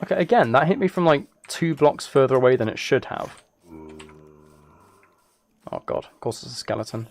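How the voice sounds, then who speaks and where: A man's voice: medium pitch, animated, normal speed, pauses often. Man rambling casually over keyboard clicks and noise. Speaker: live streamer. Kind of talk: casual chat with game commentary. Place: indoors at a computer. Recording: clear background noise, keyboard and mouse clicks.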